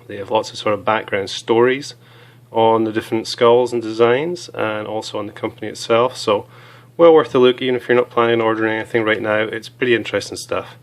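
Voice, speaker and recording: A hoarse man with a cold, chatting casually as he reviews small gear items, with many fillers. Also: lowish voice, steady tone, brisk.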